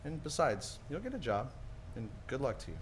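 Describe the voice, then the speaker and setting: Radio drama lead: kind, folksy businessman, played by a man, animated pitch, rapid stammering delivery. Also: slight background noise, natural voice. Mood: earnest, generous. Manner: folksy, hesitant stammer, exclamatory. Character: earnest, excitable, kind small-town businessman. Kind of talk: 1940s radio play acting.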